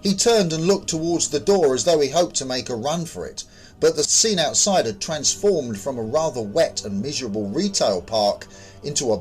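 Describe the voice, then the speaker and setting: A man narrating his own short horror story as a scripted reading. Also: mid-range voice.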